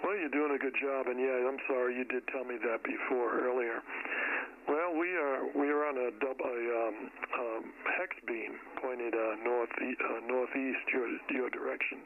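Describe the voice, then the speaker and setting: A man's voice: mid-range voice, steady tone, normal speed. Chatty American man on muffled radio. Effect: radio transmission. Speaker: amateur radio operator. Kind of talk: casual two-way radio chat. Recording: muffled radio audio with faint static.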